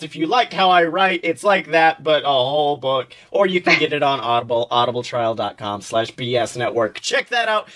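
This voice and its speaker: A man hosting a podcast in casual, enthusiastic chat, animated and freely swearing. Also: sits high. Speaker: podcast host. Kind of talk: casual chat and fan fiction reading.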